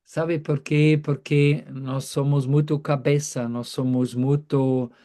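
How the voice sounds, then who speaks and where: A man in his fifties, a priest, speaking in short halting phrases that pause for an interpreter. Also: a little high, little pitch movement, mellow. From Germany. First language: German. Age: 50s. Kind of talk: talk given through an interpreter.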